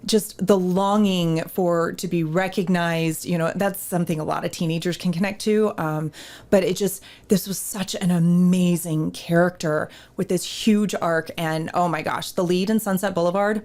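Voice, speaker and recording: A woman, a theater ministry director, interviewed as a guest, telling stories in a lively way and acting out her own past remarks. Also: on the low side, normal speed. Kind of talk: casual interview storytelling.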